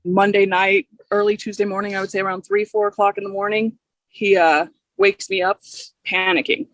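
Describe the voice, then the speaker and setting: A woman with an American accent and a husky voice, grieving and emotional as she gives a personal account in a news interview. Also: medium pitch, little pitch movement, moderate pace, fairly bright tone, no room sound. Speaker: bereaved partner interviewed for local news.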